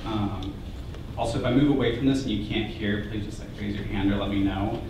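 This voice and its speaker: Young man, conference talk, soft-spoken, self-deprecating, slightly low voice, echoey classroom from afar. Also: a little fast. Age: young adult. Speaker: graduate student presenter. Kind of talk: conference presentation. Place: university classroom. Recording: echoey classroom from far off, noisy.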